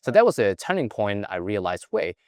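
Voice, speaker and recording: Low guy, earnest life coach vibe, keeps saying right?, dead dry sound. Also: very animated, brisk, no echo. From Hong Kong. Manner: earnest, keeps adding "right?" tags to check in with the listener. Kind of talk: reflective personal storytelling.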